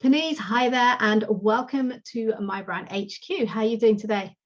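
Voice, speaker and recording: A woman's voice: on the high side, normal speed. A woman hosting a podcast as a career coach, reading a polished upbeat introduction and then interviewing her guest. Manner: polished, upbeat promotional delivery. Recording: dull lo-fi sound.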